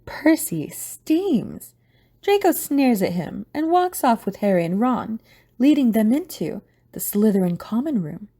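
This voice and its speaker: Mellow, very expressive woman narrator. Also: on the high side, a little slow, husky. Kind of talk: scripted audio drama narration.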